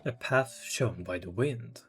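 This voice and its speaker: A man giving casual playthrough commentary as a game streamer, low-key and thinking aloud. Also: slow pace. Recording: game music behind the voice.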